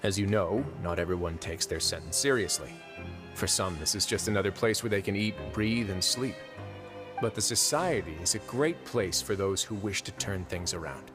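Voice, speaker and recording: A man plays a composed video game duke with a low voice. He is measured and courteous with dry understatement, over clear background music. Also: natural voice. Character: composed, dry-witted male duke who runs a prison. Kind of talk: scripted game dialogue.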